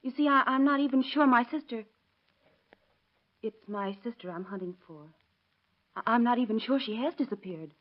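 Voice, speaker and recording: A woman's voice: fairly high pitch, moderate pace, pauses often, natural voice. An actress playing a worried, hesitant woman in a 1930s radio drama, on a muffled old recording. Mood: hesitant, anxious. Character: worried, hesitant woman searching for her missing sister.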